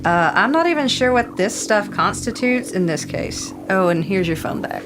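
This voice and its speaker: Sassy young female intern woman acting, casual and wry, mid-pitched, over noticeable ambient noise. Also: expressive, normal speed, natural voice. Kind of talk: naturalistic audio drama acting.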